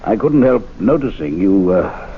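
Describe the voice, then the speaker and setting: An actor playing a well-spoken club president who is polite but insistent, in a 1970s radio drama. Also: male voice, on the low side, sing-song, a little slow, husky, full character voice. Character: poised male club president, firm and smooth. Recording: dull lo-fi old radio recording.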